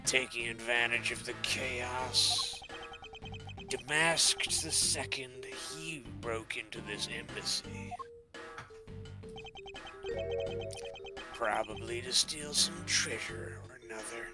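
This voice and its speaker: A man's voice: medium pitch, slow, over music, close to an everyday voice. A man playing an earnest detective in a video game fan dub, explaining things plainly. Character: earnest male detective reporting on a crime scene.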